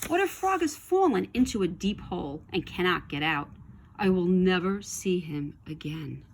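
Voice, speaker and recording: An anxious male toad from a children's storybook, voiced by a woman reading aloud, fretting urgently. The delivery swings widely in pitch as he exclaims and questions. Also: animated, normal speed, a little hiss or hum. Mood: worried, fretful.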